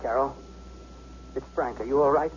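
An actor playing a police lieutenant in a radio drama, talking urgently and coaxingly in colloquial, placating phrases. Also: male voice, mid-range voice, moderate pace, close to an everyday voice. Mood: tense. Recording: dull, noisy 1970s radio broadcast.

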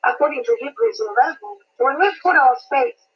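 A woman's voice: sits high, animated, fairly quick, muffled recording. A woman from Malawi who is a prophetess, praying and preaching a sermon with a fervent, repetitive cadence. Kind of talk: sermon and prayer.

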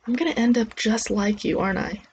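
A woman's voice: a little high, fairly even intonation, fairly quick, a little mellow, pitched above the performer's own voice, close to an everyday voice. Female narrator as young trainee fighter, audiobook character, jaded, sarcastic, stubborn, dull noisy audio. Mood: sardonic. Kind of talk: acted audiobook dialogue.

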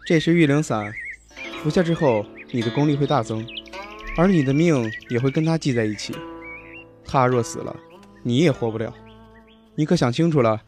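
A man's voice: medium pitch, over music, natural voice. A man voicing a composed young valley lord. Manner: measured, composed, slightly aloof. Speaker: voice actor. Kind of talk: Chinese audio drama acting and narration.

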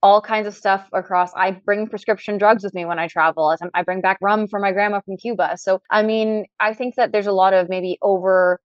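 A woman's voice: medium pitch, fairly even intonation, a little fast, few pauses, no echo, dull audio. A woman from Trinidad, raised in Canada, chatting as a cannabis scientist guest on a podcast, full of casual fillers.

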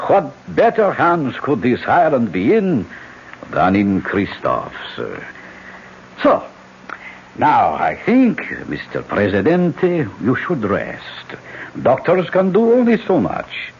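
An actor playing a suave, scheming older generalissimo with an urbane, oratorical manner in a radio drama. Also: male voice, mid-range voice, huge pitch swings, slow, gravelly, slight background noise, heavily acted. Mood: falsely gracious. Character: suave, scheming elder generalissimo, falsely courteous. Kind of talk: old-time radio drama acting. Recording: dull old radio broadcast.

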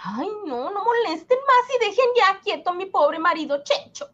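Woman acting plaintive, high-voiced devout wife. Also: sing-song, moderate pace, fairly bright tone, muffled recording, heavily acted. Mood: protective. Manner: plaintive, sighing. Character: sentimental, devout, fussing wife of poor vendor. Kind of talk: radionovela acting.

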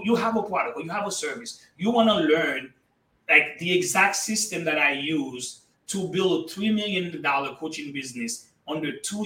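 Energetic bright-voiced man, echoey and distant. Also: on the high side. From Haiti. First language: Haitian Creole. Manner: energetic, emphatic, addresses listeners as guys. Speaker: guest entrepreneur. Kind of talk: motivational interview talk.

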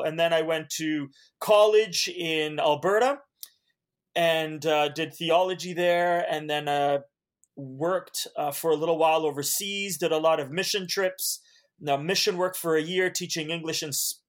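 Earnest Canadian-raised man, Hungarian first language, evangelist guest, slightly high voice, halting restarts. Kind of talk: podcast interview.

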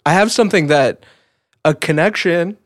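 A man's voice: fairly high pitch, moderate pace, dry recording, clean studio sound. A man co-hosting a podcast, chatting casually and candidly with a wry, self-deprecating manner. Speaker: podcast co-host.

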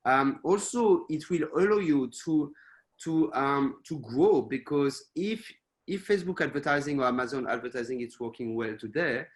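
Male guest speaker, French accent, hesitant webinar delivery over a call mic. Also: mid-range voice. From France. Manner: halting, many fillers and restarts. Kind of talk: presenting to a webinar. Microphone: video call.

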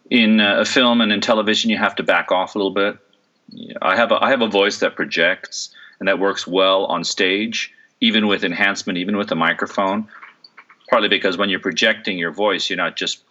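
A man's voice: low-pitched, pushed and bright, lo-fi sound. A middle-aged man who works as a voice and stage actor, talking through an interview in a thoughtful way with many fillers and restarts. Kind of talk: relaxed interview conversation.

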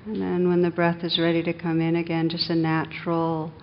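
A woman meditation teacher leading a guided meditation in a gentle, unhurried manner with long pauses, on a dull recording. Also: completely flat delivery. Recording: dull, muffled sound with faint rumbling noise.

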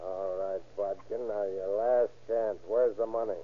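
An actor playing a menacing, sarcastic gang boss in a 1930s radio crime drama, with a gruff, bullying delivery. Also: male voice, on the low side, fairly even intonation, unhurried, a little hiss or hum, natural voice. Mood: threatening. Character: menacing male gang leader, hard-boiled robber. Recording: tinny, muffled old radio recording.

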